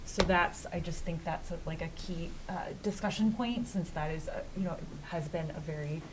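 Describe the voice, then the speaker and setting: A woman's voice: lowish voice, normal speed, a little hiss or hum, low-quality recording. A woman on a school committee speaking hesitantly, with many fillers, during a meeting in a meeting room. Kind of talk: meeting discussion.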